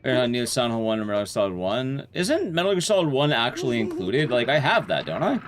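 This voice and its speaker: A man's voice: mid-range voice, big pitch swings, quick pace. A man livestreaming a video game, giving casual gameplay commentary while chatting with his viewers.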